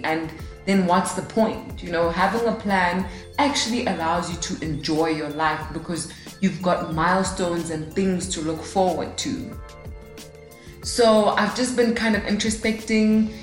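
A woman's voice: on the low side, reverberant. A woman in her late twenties hosting her own podcast alone at a mic, chatty and upbeat with self-deprecating humor. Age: 20s. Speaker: podcast host. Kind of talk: casual solo podcast talk. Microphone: studio mic, alone in a room.

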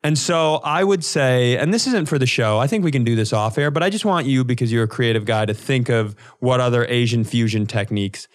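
A man's voice: medium pitch, fairly quick, hardly any pauses. A man co-hosting a comedy podcast, telling casual stories in a rambling, profane way with comic exaggeration.

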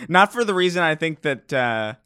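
A young man co-hosting a podcast in casual chat, talking excitably and restarting his sentences often. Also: on the high side, unhurried, clean tone. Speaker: podcast co-host.

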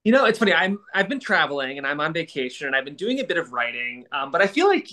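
Bright-voiced, higher-pitched man, podcast co-host. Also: big pitch swings, fast. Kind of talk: casual podcast chat.

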